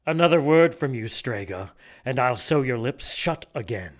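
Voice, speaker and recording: Male actor as pompous inquisitor, mid-pitched, formal, menacing, gloating, audio drama villain. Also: animated, moderate pace, natural voice. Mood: stern, threatening.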